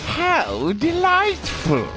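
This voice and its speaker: An actor playing a pompous, menacing male villain in a video game cutscene, with a grandiose theatrical delivery and shouted threats. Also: very high-pitched, wildly swooping pitch, drawn-out words, heavily acted. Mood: grandiose menace. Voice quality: gravelly, swooping theatrical delivery. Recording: game audio with music behind.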